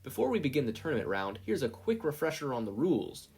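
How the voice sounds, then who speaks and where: Man voicing a commanding female fight referee, pitched up. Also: very animated, fast, a little mellow, husky. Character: bold, authoritative female referee announcing a fight.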